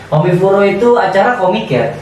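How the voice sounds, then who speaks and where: A man's voice: high-pitched, expressive, a little fast, crowd noise. A young man with a Jakarta-area Indonesian accent co-hosts a podcast in a casual, slangy, conversational way. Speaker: podcast co-host. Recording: echoey room, distant mic, faint noise.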